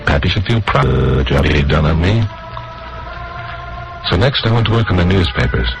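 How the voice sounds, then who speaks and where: A man's voice: a bass voice, expressive, normal speed, gravelly, full character voice, rough recording. An American man acting as a bitter, earnest blacklisted broadcaster who narrates his own story in an old radio drama. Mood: brooding, wry. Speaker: narrator and lead actor. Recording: old radio recording with loud organ music.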